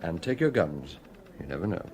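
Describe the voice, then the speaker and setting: An actor playing a shrewd, genial police chief in a British radio crime drama, brisk, commanding and probing. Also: male voice, sits low, big pitch swings, darkish tone, slight background noise.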